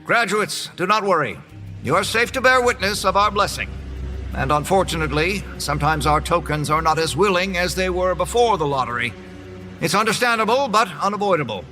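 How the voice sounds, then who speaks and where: High, bright-voiced ceremonial mayor played by a man. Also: lively intonation, fairly quick. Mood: coldly reassuring. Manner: formal, oratorical. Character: ceremonious small-town mayor, coldly calm. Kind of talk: horror audio drama acting. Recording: long hall reverb, music underneath.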